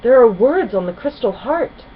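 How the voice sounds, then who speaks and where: Lady playing a cocky excitable pony girl, high n blunt, storybook, faint hiss. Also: moderate pace, narrow, tinny audio. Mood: excited. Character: bold, brash young female pony, indignant and excitable.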